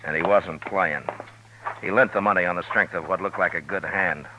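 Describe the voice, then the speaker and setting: An actor playing a steady, stern middle-aged frontier marshal in a 1950s radio drama, calm, firm and measured. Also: male voice, deep voice, heavy background noise, close to an everyday voice. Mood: probing. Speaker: lead and narrator. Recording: dull old radio broadcast with music.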